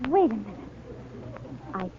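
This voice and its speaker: A woman playing a headstrong, excitable American amateur sleuth in a 1940s radio drama, with a breathless, insistent manner. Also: a little high, moderate pace, slight background noise. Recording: dull 1940s radio recording.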